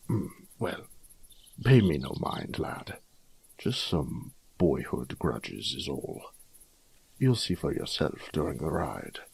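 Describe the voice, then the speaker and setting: A man playing a gruff, fatherly older lord in a medieval fantasy audio drama, blunt and hearty, with a husky, gravelly voice and archaic, formal speech. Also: a bass voice, very animated, slow pace, darkish tone, heavily acted. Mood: hearty, wry.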